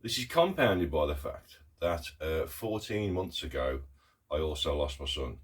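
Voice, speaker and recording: Middle-aged man vlogging, very low husky voice with a croak from a cough, halting and earnest with many pauses. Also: sing-song. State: hoarse with a cough. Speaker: artist vlogger. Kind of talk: casual talk to camera.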